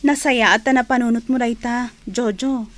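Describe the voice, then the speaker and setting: A woman playing a gentle, high-voiced young wife. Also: steady tone, moderate pace, natural voice. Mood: caring. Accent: Ilocano. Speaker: radio drama actress.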